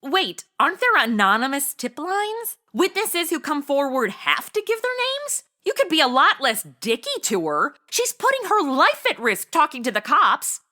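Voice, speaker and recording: Woman as a bright, high-pitched sassy ditz. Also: sing-song, moderate pace, few pauses, full character voice. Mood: cheeky. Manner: sassy, flippant, exclamatory. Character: brash, ditzy, fashion-obsessed modern young woman sidekick. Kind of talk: comedic audio drama acting.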